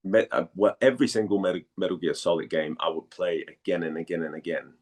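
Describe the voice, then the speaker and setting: A man talks casually as an interview guest. He speaks in a halting way, circling back over phrases as he searches for words. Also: low-pitched, fairly even intonation, darkish tone. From Denmark. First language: Danish.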